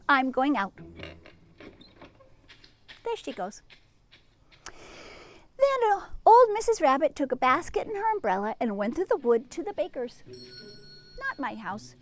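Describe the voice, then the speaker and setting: A woman reading a children's story aloud in an expressive, teacherly way, with chatty asides and questions to young listeners. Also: sits high. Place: outdoors in a garden.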